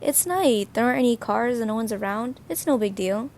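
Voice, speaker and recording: Woman voicing a high-pitched, jealous schoolgirl class president. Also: lively intonation, a little fast, darkish tone, slight background noise. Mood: scornful, impatient. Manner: taunting, theatrical. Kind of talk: anime fan dub voice acting.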